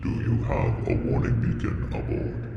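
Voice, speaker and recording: A man playing a ruthless, cautious alien superior in an audio drama, with a breathy rasp and a formal, ominous manner. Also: normal speed, close to an everyday voice. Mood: grave, ominous. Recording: muffled, echoey, very noisy.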